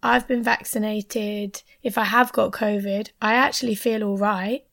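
A woman's voice: mid-range voice, steady tone, a little slow, vocal fry, fairly bright tone. A woman who has lost her voice and has a sore throat, chatting casually over a video call, often dropping to a near whisper. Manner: chatty, drops to a near whisper at serious points.

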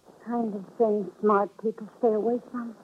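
A woman's voice: medium pitch, flat delivery, normal speed, close to an everyday voice. An American woman playing a guarded, world-weary young woman in a 1940s radio drama, curt and suspicious. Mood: wary, weary. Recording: tinny, noisy old radio recording.

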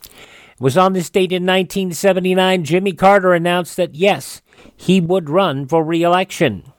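A man's voice: high-pitched, fairly even intonation, moderate pace, dry recording. Dry, close-sounding American male radio host. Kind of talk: semi-scripted presenting of old radio and history facts.

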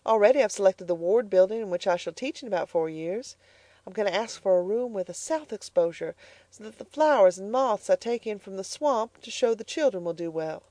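A woman reading the part of a proud, determined young farm girl, speaking high and earnest. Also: animated, brisk, mellow, no echo, natural voice. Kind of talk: audiobook character voice.

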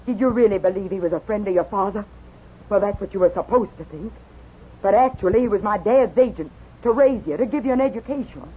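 A woman's voice: mid-range voice, quick pace, breathy edge. An actress playing an earnest, devoted young frontier woman in a dramatic 1950s radio western style. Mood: earnest explaining. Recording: tinny, noisy 1950s radio recording.

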